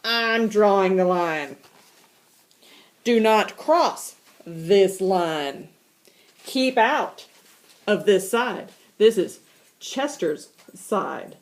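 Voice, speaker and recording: A woman voicing a smug, bossy male house cat from a storybook, with a slow, drawn-out, defiant way of talking. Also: mid-range voice, animated.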